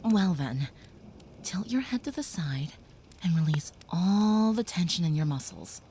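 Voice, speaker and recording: A woman voicing a gentle young female vampire who soothes a human listener, speaking softly and often in a whisper, in an audio roleplay. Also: fairly low pitch, lively intonation, unhurried, slight background noise. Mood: soothing.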